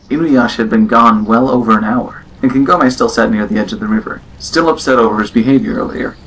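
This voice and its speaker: A man's voice: medium pitch, a bit bright, poor audio. Man narrating, faint background noise. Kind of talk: scripted story narration.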